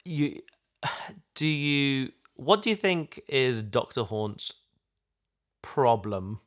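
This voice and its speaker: Man in casual, halting discussion. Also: mid-range voice, expressive, fairly bright tone. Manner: halting, repeats and restarts phrases while thinking aloud. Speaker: writer and host of a sci-fi audio drama discussion.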